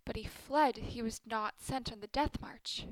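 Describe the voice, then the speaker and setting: A woman's voice: fairly high pitch, measured pace, no echo, natural voice, low-quality recording. A woman acting in a radio play as a stern, controlling middle-aged mother, speaking in clipped, pointed phrases. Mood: tense, sly. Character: Miriam, stern married Turkish woman, a controlling, sharp-tongued mother.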